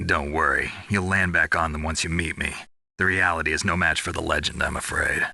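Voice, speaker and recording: Video game lead: hard-bitten veteran commando, low, husky, forceful, terse and deadpan. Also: male voice, a little fast, no echo, exaggerated voice. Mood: dry, smooth. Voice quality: gravelly, husky. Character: gruff, world-weary veteran male special forces soldier. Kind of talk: dramatic video game voice acting.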